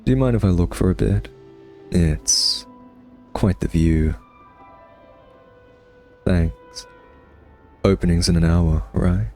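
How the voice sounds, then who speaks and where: Man playing a low-voiced smug vampire. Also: slow pace, pauses often, darkish tone, faint music behind. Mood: charming, admiring. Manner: smug, teasing, drawling. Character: smug male vampire taunting his captive hunter nemesis. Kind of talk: ASMR roleplay monologue.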